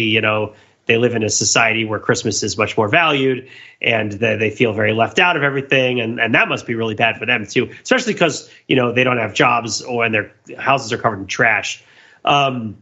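A man hosting a casual, humorous pop-culture chat, telling things in a rambling, animated way. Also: medium pitch, muffled recording. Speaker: podcast host.

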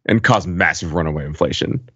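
A man with a low-pitched voice chats casually on a podcast. His delivery is conversational and wry. The recording sounds slightly muffled. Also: fairly quick, fairly bright tone, husky. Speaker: podcast panelist. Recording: dull, slightly lo-fi audio.

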